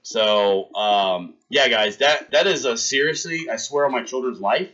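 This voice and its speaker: A man in his thirties streaming live, telling a long gross-out story with animated delivery and acting out the scenes for his chat. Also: mid-range voice, unhurried, dull audio.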